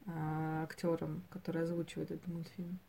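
A woman co-hosting a podcast, talking casually about animated films in a hesitant, thinking-aloud way, given to chuckling. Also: low-pitched, fairly quick, creaky, husky. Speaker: podcast co-host.

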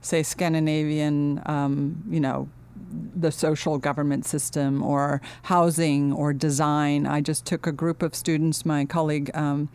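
A middle-aged woman from Finland, a guest in an interview, speaking earnestly and thoughtfully with many pauses and restarts. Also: low-pitched, flat delivery, darkish tone, smooth. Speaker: guest, architecture dean. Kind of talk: casual interview talk.

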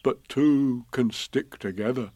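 A man voicing a gentle, simple bear in a storybook reading, talking slowly and softly. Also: mid-range voice, no room sound. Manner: slow soft drawl.

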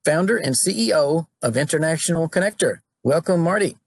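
A man with a fairly high, husky voice hosts a podcast and welcomes his guests warmly. The sound is close and dry, with no room around it. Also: lively intonation, brisk, no room sound. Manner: friendly, welcoming introductions. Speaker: podcast host. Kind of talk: hosting introductions.

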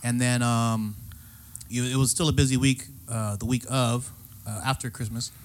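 A man's voice: medium pitch, fairly even intonation, moderate pace, clear, resonant tone. A man in his thirties co-hosting a casual podcast, rambling and swearing with plenty of fillers. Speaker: podcast co-host.

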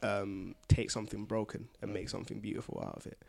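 A man's voice: fairly low pitch, fairly even intonation, fast talker, creaky, a little mellow. A man chatting casually as a podcast panellist, with an informal, slangy and joking way of talking. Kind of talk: casual chat.

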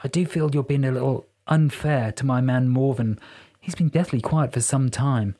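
A flamboyant male rock musician, acted out by an audiobook reader, smug and hedonistic. The delivery is affected, pompous and theatrical, with a breathy edge and music beneath it. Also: mid-range voice, normal speed, warm tone, close to an everyday voice. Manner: affected, camp, wordy and pompous.

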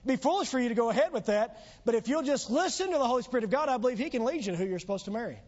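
A man preaches from the pulpit with a high, strained voice that is going hoarse. He drives home his points with rising, earnest appeals. The recording is dull and lo-fi. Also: lively intonation, rapid-fire, darkish tone. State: losing his voice. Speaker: pastor. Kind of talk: sermon. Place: church sanctuary.

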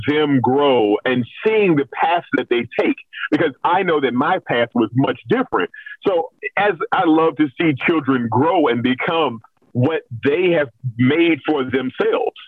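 A man's voice: fairly high pitch, expressive, unhurried, dry recording. A middle-aged American man talking candidly and casually in an interview, with self-deprecating humour. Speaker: host of a children's story reading show, being interviewed. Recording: thin, phone-like call audio.

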